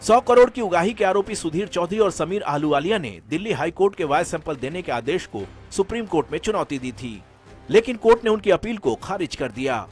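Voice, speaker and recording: A man's voice: high-pitched, sing-song, fairly quick, a little mellow. A man narrating a scripted news report as a reporter. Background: background music.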